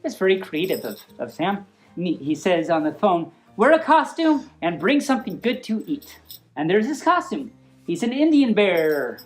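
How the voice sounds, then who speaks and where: A woman reads a story aloud with music behind her. Her mid-pitched voice swings in a lively way. She is informal and playful, wandering off into chatty asides. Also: normal speed. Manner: playful, rambling, easily sidetracked. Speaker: storyteller reading a picture book.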